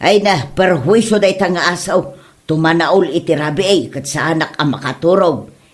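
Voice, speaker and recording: A woman's voice: deep voice, moderate pace, natural voice. A woman with an Ilocano accent playing a gossipy, grumbling neighbour wife in an amateur comedy drama.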